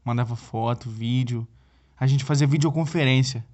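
A man's voice: mid-range voice, moderate pace, darkish tone, lightly acted. An actor playing a needy, flustered young Brazilian boyfriend in a comedic audio drama, stammering and repeating himself as he pleads for help. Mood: distressed. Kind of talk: comedic audio drama, one side of a phone call.

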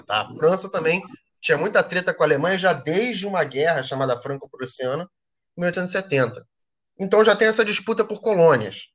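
Casual Brazilian man teaching history. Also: fairly high pitch. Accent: Brazilian Portuguese. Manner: colloquial, lively, frequent "tá?" check-ins. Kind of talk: explaining history to a class or show.

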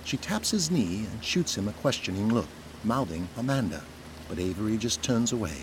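A man's voice: fairly low pitch, animated, moderate pace, background noise. A man narrating an audio drama in a scripted, dramatic storytelling style. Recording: music behind the voice.

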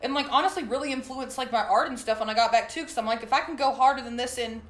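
A young woman with a low, slightly raspy voice, talking loosely as a guest on a podcast. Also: quick pace, a bit bright. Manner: chatty, loose, lots of fillers. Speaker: guest singer and artist. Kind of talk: casual podcast chat. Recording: roomy echo.

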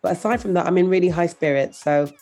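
A woman from Birmingham with a croaky voice from a cold, telling her story earnestly as an interview guest. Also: fairly low pitch, quick pace, a little mellow, faint music behind. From Birmingham, England. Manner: earnest, thoughtful, with some repeated words. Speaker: guest, anti-racism consultant.

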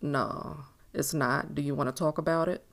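A low-voiced, gravelly man from a romance audiobook played by a woman narrator. He is confident and tender, a smooth talker who reassures the woman he is courting. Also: steady tone, moderate pace. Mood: reassuring, concerned. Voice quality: low baritone.

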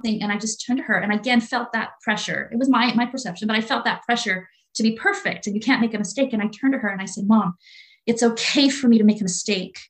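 A woman's voice: fairly high pitch, quick pace, low-quality recording. A woman in her late forties talking earnestly and from the heart as a guest in a faith-based conversation, often repeating her phrases. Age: 40s.

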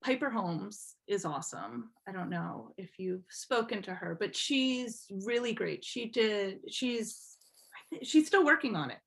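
Woman, mid-range voice, podcast guest, informal chat, halting thinking-aloud delivery. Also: medium pitch, lively intonation, creaky. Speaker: podcast guest, fan fiction writer. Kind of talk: casual interview chat.

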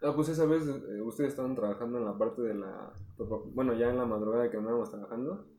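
A man's voice: medium pitch, fast, warm tone, roomy sound, slight background noise. A young man with a Mexican accent, a jokey architecture student talking in slang. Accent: Mexican Spanish. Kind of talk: casual group chat.

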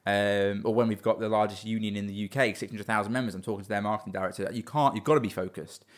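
A man's voice: fairly low pitch, fairly even intonation, fast. A young man in his twenties, a business owner talking earnestly in a casual interview, often restarting and repeating words.

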